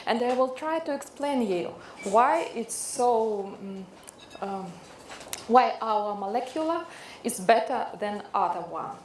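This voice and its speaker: Slow, echoey Ukrainian-accented woman lecturing. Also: a little high, a little mellow. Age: middle-aged. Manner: halting, hesitant non-native phrasing with frequent searching for words. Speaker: dermatologist lecturer. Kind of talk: introductory medical lecture to an audience. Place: indoor lecture room. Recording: echoey room with faint background noise.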